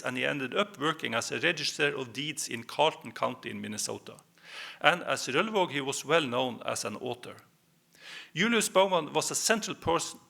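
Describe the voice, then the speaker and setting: A man with a Norwegian accent giving a history presentation, speaking in measured phrases with hesitant fillers. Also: medium pitch, creaky, pushed and bright. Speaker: lecturer.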